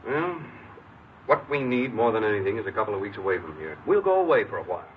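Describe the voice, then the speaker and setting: A man's voice: on the low side, sing-song, fast. An actor playing a stern, dismissive middle-aged father, brusque and impatient, in an old radio crime drama. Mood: irritated, dismissive. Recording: muffled, noisy old radio recording.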